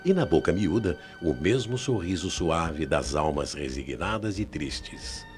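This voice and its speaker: A man with a Brazilian accent narrating a radio mystery drama in a solemn, theatrical way with deliberate phrasing. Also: low voice, animated. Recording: old AM radio tape, music underneath.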